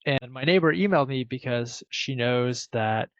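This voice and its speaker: Gravelly man casually telling a story. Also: mid-range voice, moderate pace, fairly bright tone, no room sound. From Ukraine. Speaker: program participant telling his story. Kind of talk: casual personal storytelling on a group call. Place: video call. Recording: dull, close, dry video-call audio.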